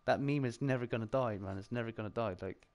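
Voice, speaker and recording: A man with a British accent, hosting a casual round-table chat about games, talking in quick bursts, often laughing and filling with "like". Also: mid-range voice. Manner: animated, chatty, laughs often, heavy filler "like". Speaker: streamer and show host.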